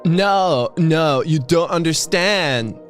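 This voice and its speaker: A man's voice: on the high side, lively intonation, slow pace, soft background music. A man co-hosting a livestream, casually joking and teasing as he reacts and reads along. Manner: teasing interjections. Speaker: livestream co-host.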